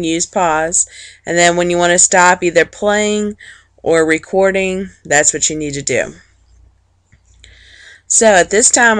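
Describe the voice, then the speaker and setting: A woman teacher narrating an informal, plain-spoken software walkthrough step by step, explaining things for students. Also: mid-range voice, no echo. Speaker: teacher giving a software tutorial.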